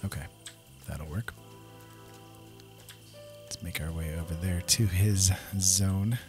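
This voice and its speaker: A man with a low voice talks through a video game in a casual, easygoing way, thinking out loud. Game music plays clearly behind him. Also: slow pace. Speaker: gaming video host. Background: game soundtrack music.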